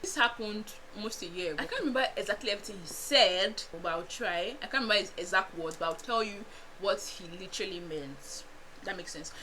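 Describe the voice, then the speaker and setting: Young nigerian girl doing a storytime vlog, bright voice, laughs a lot, little bit of background noise. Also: medium pitch, fairly quick. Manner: animated, laughs often, chatty asides to viewers. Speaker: vlogger. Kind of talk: casual storytime chat.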